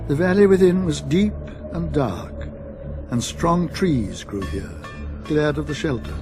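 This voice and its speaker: A man narrating a radio drama in a measured, literary storytelling manner. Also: a little high, lively intonation, music in the background.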